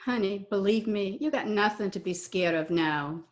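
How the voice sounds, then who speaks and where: A woman's voice: mid-range voice, moderate pace, close to an everyday voice. A woman playing a brassy, wisecracking Broadway dame with sardonic slang, in old-time radio drama style. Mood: firm. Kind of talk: 1940s radio drama acting.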